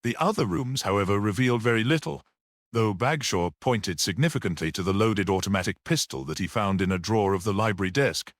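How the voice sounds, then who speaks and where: Low-voiced man narrating a mystery story. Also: expressive, a little fast, dry recording, studio quality. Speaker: audiobook narrator.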